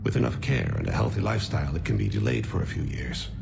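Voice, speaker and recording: An actor playing a serene, courteous alien man near death, gravelly and formally measured, in a video game. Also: lowish voice, huge pitch swings, background noise, heavily acted. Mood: courteous, calm. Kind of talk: video game dramatic acting.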